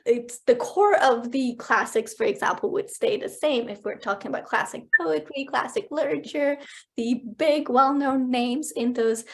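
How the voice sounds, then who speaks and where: A woman whose first language is Persian, giving a keynote answer on a video call in a lively, explanatory way. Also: high voice, normal speed. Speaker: keynote speaker. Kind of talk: conference talk answering questions.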